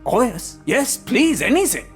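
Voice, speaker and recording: A man playing a befuddled, forgetful former professor in a video game, politely hesitant, with a husky, creaky voice. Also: very high voice, big pitch swings, a little slow, soft background music, heavily acted. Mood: eager, muddled. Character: befuddled, amnesiac asylum patient, a former professor.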